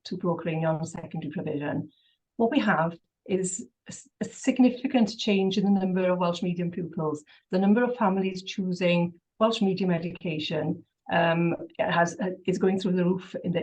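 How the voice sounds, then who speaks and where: A woman's voice: fairly low pitch, darkish tone, poor audio. A woman on a council answering questions at a meeting off the cuff, hesitant, with many fillers and repeated words. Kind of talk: unscripted reply at a council meeting.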